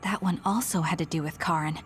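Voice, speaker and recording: An actress playing a composed, serious young fighter in an anime game, matter-of-fact in manner. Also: female voice, deep voice, fairly even intonation, a little fast, soft background music, lightly acted. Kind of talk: dubbed anime game cutscene acting.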